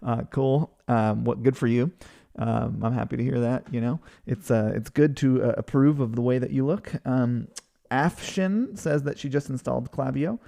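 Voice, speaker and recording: A man's voice: medium pitch, normal speed, gravelly, dark tone. A man from Hawaii in his thirties, hosting a live webinar and chatting casually with viewers, full of fillers and restarts. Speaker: webinar host. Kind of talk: casual chat reading viewer comments.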